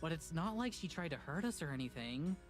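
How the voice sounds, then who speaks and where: A woman's voice: low voice, very animated, brisk, soft background music. A woman voicing an easygoing, upbeat young traveler in a visual novel, casual and placating.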